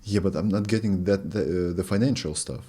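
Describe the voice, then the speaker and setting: A man's voice: low voice, fairly quick, mellow, breathy edge. A man hosting a conversational interview, putting probing and challenging questions to a guest. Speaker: podcast host.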